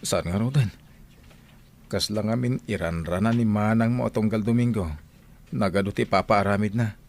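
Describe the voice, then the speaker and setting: A man acting a worried, prayerful husband and father who speaks gently and patiently in a scripted audio drama. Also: fairly low pitch, normal speed, lightly acted. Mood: resigned.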